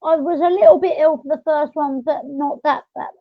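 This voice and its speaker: A woman's voice: sits high, steady tone, normal speed. A woman chatting casually as a podcast host, in a hesitant, conversational way. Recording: dull lo-fi audio.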